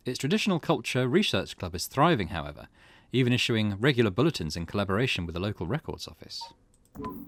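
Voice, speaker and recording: A man's voice: fairly low pitch, sing-song, fast, fairly bright tone. A man doing let's play narration, reading game text aloud and muttering his own reactions between passages. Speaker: gaming video host.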